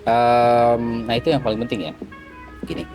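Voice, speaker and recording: A middle-aged man talks through his years as a trading mentor in a relaxed, conversational way, leaning on everyday examples and mixing in English words. His voice sits at an ordinary middle pitch. A clear music bed plays underneath. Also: normal speed. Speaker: forex trading mentor, guest. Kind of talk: casual podcast interview. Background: background music.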